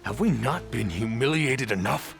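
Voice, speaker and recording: Gravelly, bitter captive warrior. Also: male voice, medium pitch, expressive, moderate pace, faint music behind. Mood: bitter, scornful. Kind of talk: dramatic video game voice acting.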